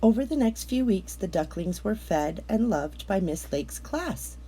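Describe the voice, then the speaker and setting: A woman reads a picture book aloud for children. Her voice is mellow and a little breathy-rough, sitting at a middle pitch. She tells the story in a measured, expressive way, stretching out words for effect. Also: rough recording. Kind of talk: scripted read-aloud narration of a picture book.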